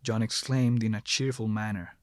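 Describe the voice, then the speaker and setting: A man's voice: fairly low pitch, fairly even intonation, normal speed, no room sound. A man narrating a scripted horror story as the narrator, recorded close to the mic.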